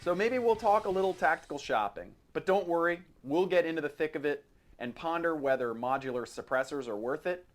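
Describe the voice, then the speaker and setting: A man's voice: fairly high pitch, lively intonation, a little fast, faint music behind. A middle-aged man with an American accent hosts a podcast in a casual, chatty style with a wry, jokey streak. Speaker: podcast host. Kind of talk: casual chat about firearms.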